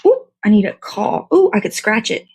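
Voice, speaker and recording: A woman's voice: medium pitch, very animated, normal speed, a little mellow, breathy edge. A woman, hosting a casual, rambling livestream in a playful, slangy, easygoing way. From American South. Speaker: host of a morning livestream show.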